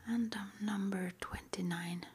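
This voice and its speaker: A woman doing an ASMR roleplay in a breathy, unvoiced whisper, with a gentle, unhurried manner. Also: mid-range voice, fairly even intonation. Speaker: ASMR roleplay performer playing a witch school interviewer.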